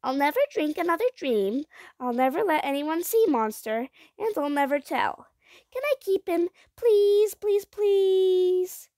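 A woman's voice: extremely high pitch, expressive, unhurried, mellow, dry recording, studio quality, voice pushed up. A woman voicing a passionate young girl in a storybook, pleading insistently. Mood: hopeful, pleading.

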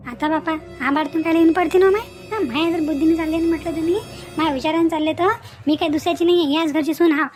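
Cartoon young village wife, played by a woman: very high, fast, scolding and scheming, rural Vidarbha Marathi accent, piles up rhetorical questions. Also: fairly even intonation, a little mellow, faint music behind. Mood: insistent persuading. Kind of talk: Marathi cartoon family-drama dialogue.

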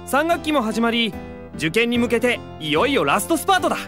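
A man playing an easygoing, teasing seventeen-year-old high school hero in an anime-style audio drama. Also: very animated, fairly quick, music in the background. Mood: earnest narrating.

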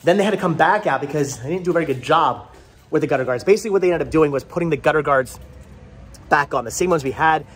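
Man, 30s, rapid rambling vlogger, slightly high voice, fed-up tone, phone camera held at arm's length in the yard. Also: breathy edge. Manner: fast, rambling, exasperated, repeats words. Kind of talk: frustrated life-update vlog. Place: outdoors in a house yard.

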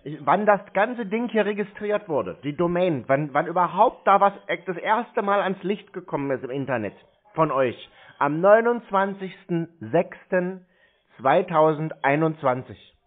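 A man's voice: high voice, animated, unhurried. A man in a confrontational phone conversation, blunt and insistent, repeating himself for emphasis. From Germany. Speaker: scam baiter confronting a phone scammer. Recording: narrow tinny phone-like sound.

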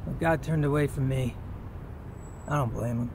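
A man's voice: mid-range voice, moderate pace, noisy background, lightly acted. An actor playing an anguished, guilt-ridden man, pleading emotionally in a radio drama. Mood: despairing.

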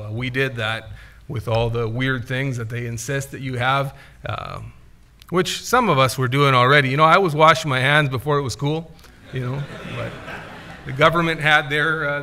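Man, middle-aged, bright voice, chatty missionary report, church pulpit. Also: mid-range voice. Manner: conversational, many "uh" fillers, gestures with hands. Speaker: missionary speaker. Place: church sanctuary at a wooden pulpit. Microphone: pulpit microphone, far camera.

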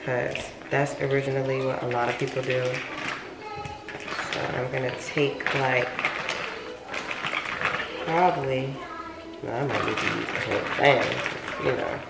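A young woman with a low voice gives a casual cooking demonstration. She talks slowly and informally while busy at the stove. The recording is roomy, with clear kitchen noise. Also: fairly even intonation, a loud crowd. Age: young adult. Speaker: home cooking vlogger. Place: home kitchen, indoors. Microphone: camera mic a few feet away.

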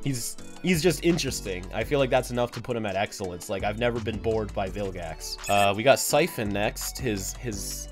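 A man's voice: lowish voice, animated, moderate pace, hardly any pauses. Young man chatting over background music. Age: young adult. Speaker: co-host of a cartoon ranking show. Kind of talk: casual chat. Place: small cluttered room indoors.

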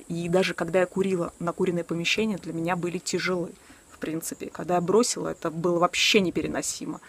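A woman in her early forties talking casually and candidly to a camera on a selfie stick, outdoors in a garden, with a few self-mocking laughs. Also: lowish voice. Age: 40s. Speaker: vlogger. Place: outdoors by a wooden shed in a garden. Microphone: selfie stick camera at arm's length.

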